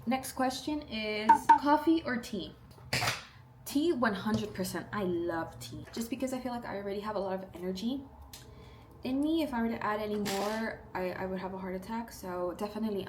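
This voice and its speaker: A woman's voice: mid-range voice, moderate pace, noisy background. A woman of twenty-two with a South Texas accent, chatting casually and animatedly while doing her makeup at home. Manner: chatty, upbeat, animated asides and playful quoted voices. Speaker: beauty vlogger. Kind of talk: casual get-ready-with-me chat.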